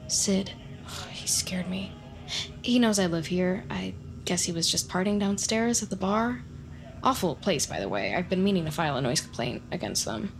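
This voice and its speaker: A woman's voice: medium pitch, slight background noise, natural voice. A woman playing a nervous, chatty, awkward neighbor in an audio drama, hesitant and rambling in an earnest way.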